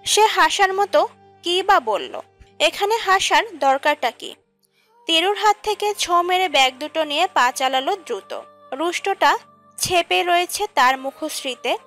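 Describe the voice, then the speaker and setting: A woman's voice: very high-pitched, expressive, a little slow, a bit bright. A woman reading scripted narration for a romantic audio story. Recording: music under the voice. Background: background music.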